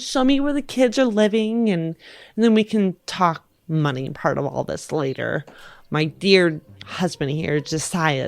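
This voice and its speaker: A woman's voice: fairly low pitch, a little mellow. A woman playing an effusive, insistent wife posing as a prospective adoptive mother in a tabletop game. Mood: insistent, sweet.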